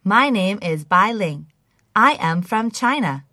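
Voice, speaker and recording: A woman's voice: medium pitch, animated, takes it slowly, sharp tone, no room sound, studio quality. A woman reading scripted English listening exercises, enunciating carefully like a language-lesson recording. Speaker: English listening test reader.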